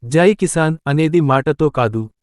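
Man playing a steadfast farmer, fairly high voice. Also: unhurried, no echo, clean studio sound, close to an everyday voice. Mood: gentle, earnest. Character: steadfast, proud village farmer and gentle father. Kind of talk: scripted moral story dialogue.

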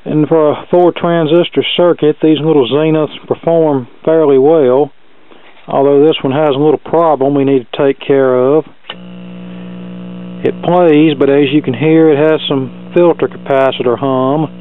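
A man's voice: fairly high pitch, fairly even intonation, measured pace, phone-quality sound, slight background noise. A man giving a casual hands-on repair demonstration into a camera mic at a workbench. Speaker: radio repair hobbyist presenting. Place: indoor cluttered workshop bench.

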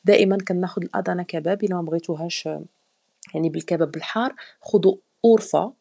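A woman's voice: lowish voice, rapid-fire, vocal fry, warm tone, dry recording. A woman with a Moroccan Arabic accent, chatting casually as a travel vlogger with many hesitations and fillers. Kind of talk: casual travel vlog voice-over.

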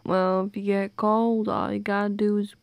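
Soft creaky-voiced teasing goth girlfriend, played by a woman. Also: medium pitch, little pitch movement, moderate pace, no echo, close to an everyday voice. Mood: tender, coy. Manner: teasing, coy, giggly. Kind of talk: intimate audio roleplay addressed to the listener.

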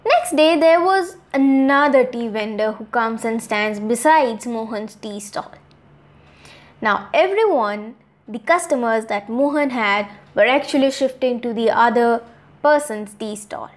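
A woman in her twenties with an Indian accent tells a children's moral tale. Her voice is high, and she goes slowly and deliberately. She is expressive, leaving dramatic pauses between phrases. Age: 20s. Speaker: storyteller, host. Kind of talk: moral story narration for children.

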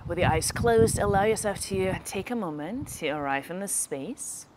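A woman leading a guided meditation, giving slow, gentle instructions with long pauses between phrases. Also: medium pitch, lively intonation, noisy background.

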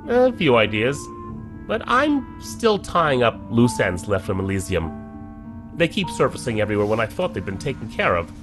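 A man's voice: mid-range voice, big pitch swings, normal speed, over music, lightly acted. An actor playing a worried male scientist and father who talks tensely and defensively in an audio drama.

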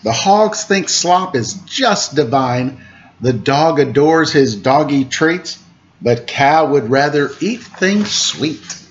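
A man in his forties reading a children's picture book aloud in a playful, expressive way, with chatty asides. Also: fairly high pitch, slow. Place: room at home, indoors. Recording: muffled webcam audio with clear background noise.

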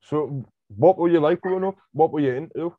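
Halting host, dull dry sound. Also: male voice, on the high side, no room sound. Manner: halting, restarts questions. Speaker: podcast host. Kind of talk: interview questions. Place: home studio room.

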